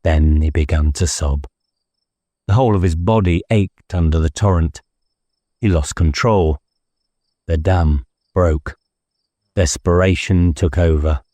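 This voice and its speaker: A male narrator reads a novel in a dramatic, scripted style. His voice is low and dark, and the recording is close and very dry. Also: unhurried, no room sound. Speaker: audiobook narrator.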